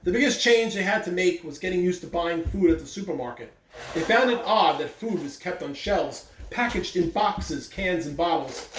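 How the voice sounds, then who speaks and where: A man reads a picture book aloud for schoolchildren, putting deliberate, animated emphasis on his words. His voice sits at some distance from the microphone in an echoey room, with faint noise underneath. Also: high-pitched. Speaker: school staff member reading aloud on morning announcements. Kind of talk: expressive children's read-aloud. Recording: roomy echo, distant mic, faint background noise.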